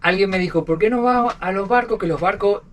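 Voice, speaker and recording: A man with an Argentine accent telling stories as a podcast guest, talking in a halting way and repeating words. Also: high voice, a bit bright, distant mic, a little hiss or hum. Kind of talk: casual storytelling interview. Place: aboard a sailboat.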